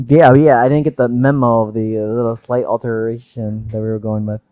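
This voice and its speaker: A man's voice: medium pitch, fairly quick, smooth, no room sound. A man co-hosting a casual podcast, swearing freely and bantering in a joking way. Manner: profane, joking, animated banter. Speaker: podcast co-host. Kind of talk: casual chat.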